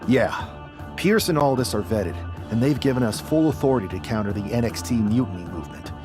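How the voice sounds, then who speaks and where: Determined male wrestler for a game story scene, played by an actor: emphatic, widely varied pitch, music bed. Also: animated, a little fast, lightly acted. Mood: resolute. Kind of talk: video game cutscene acting.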